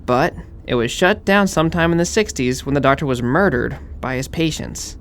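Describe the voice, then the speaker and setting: A man's voice: medium pitch, normal speed, fairly bright tone, slight background noise, lightly acted. A man playing an eager, slightly dorky young fraternity pledge, earnestly telling a spooky legend in an audio drama. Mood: eager, ominous. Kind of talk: acted campfire-style legend telling in an audio horror drama.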